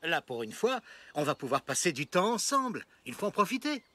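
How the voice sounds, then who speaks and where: An actor playing a cheerful, encouraging caveman dad in a jovial, expressive cartoon style. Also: a little high, brisk, full character voice. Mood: eager, surprised. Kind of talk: French cartoon dubbing.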